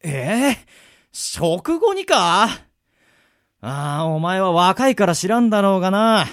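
A man playing an easygoing middle-aged swordsman mentor in a radio drama, moving between polite formality and gruff casual talk. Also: high voice, big pitch swings, slow, fairly bright tone, no room sound, studio quality, full character voice. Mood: gruff, teasing. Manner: switches between smooth polite formality and rough casual drawl. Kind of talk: anime-style radio drama acting.

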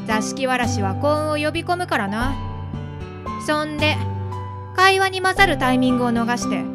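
A blunt female house spirit of a radio drama , acted by a woman. She speaks in a high voice with a Kansai accent, frank and in charge. Loud guitar music plays behind her. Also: animated, normal speed.

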